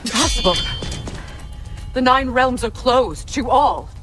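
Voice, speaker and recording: A woman's voice: low voice, expressive, moderate pace. A woman playing a wrathful, wounded goddess in a video game, commanding and vehement. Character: wrathful, wounded goddess who hates the boy's father. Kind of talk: dramatic video game acting. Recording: loud music under the voice.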